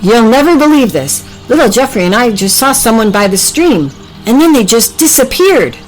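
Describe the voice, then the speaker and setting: A woman voicing an earnest, excitable farm animal in a children's storybook. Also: a little high, normal speed, pitched above the performer's own voice, poor audio. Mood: amazed.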